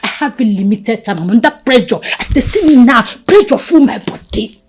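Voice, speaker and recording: A woman's voice: mid-range voice, sing-song, normal speed, a little mellow, breathy edge. An actress with a Nigerian accent playing a desperate scheming woman who demands money, mixing pidgin and swinging from whispers to outbursts. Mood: pressured. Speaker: actor. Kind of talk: Nollywood drama acting.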